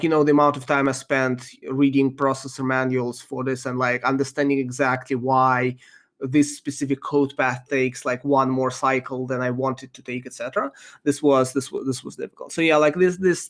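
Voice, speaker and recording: A man whose first language is Russian, answering interview questions in a thoughtful, halting way with many ums and restarts. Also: medium pitch. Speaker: podcast guest, software engineer.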